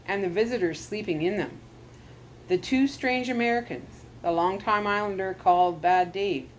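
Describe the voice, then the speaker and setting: A woman's voice: lowish voice, slight background noise, rough recording. A woman storyteller reading a children's adventure chapter book aloud to her listeners.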